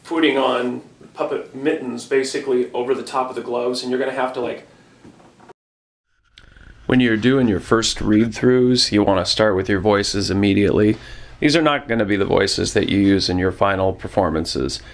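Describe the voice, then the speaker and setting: A man's voice: on the low side, normal speed. A man giving informal, encouraging instructional narration as a puppetry teacher, in a conversational way.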